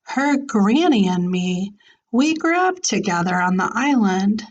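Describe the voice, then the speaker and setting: Woman doing storybook grandma, old, kindly, folksy, self-assured, encouraging, easy slow pace. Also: fairly high pitch, darkish tone, heavily acted, poor audio.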